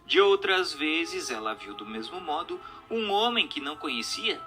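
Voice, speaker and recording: Bright, animated Brazilian male narrator over music. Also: fairly high pitch, normal speed. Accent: Brazilian Portuguese. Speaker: narrator reading a book aloud. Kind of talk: scripted dramatic narration.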